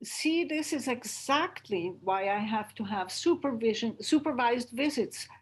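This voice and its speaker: A woman's voice: on the high side, animated, unhurried, breathy edge, rough recording. A woman playing an exhausted, sarcastic young mother in a dramatic script read over a video call. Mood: scornful, sarcastic. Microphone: home microphone on a video call.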